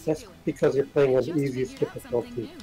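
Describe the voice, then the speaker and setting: A man with a husky voice, giving game tips in casual chat as a co-commentator. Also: mid-range voice, sing-song, a little fast, dark tone. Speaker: stream co-commentator. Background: game music.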